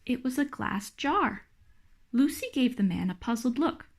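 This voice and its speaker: A woman's voice: fairly high pitch, expressive, a little slow. Woman reading a children's storybook aloud. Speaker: storybook reader. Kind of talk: expressive read-aloud narration of a children's picture book.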